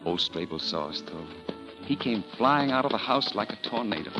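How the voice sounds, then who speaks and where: An actor playing a suave gentleman of fifty, formal and polite, in 1940s radio drama style. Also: lowish voice, expressive, moderate pace, loud music behind the voice, lightly acted, rough recording. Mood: wry, reminiscing. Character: suave, courtly fifty-year-old gentleman, an aging charmer. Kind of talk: 1940s radio acting, with first-person narration. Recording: vintage 1940s radio broadcast.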